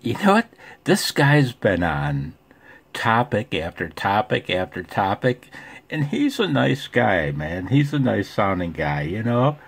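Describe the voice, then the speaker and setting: Male radio hobbyist, husky and lowish, rambling folksy delivery, casual monologue. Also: sing-song, a little slow. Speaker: ham radio vlogger.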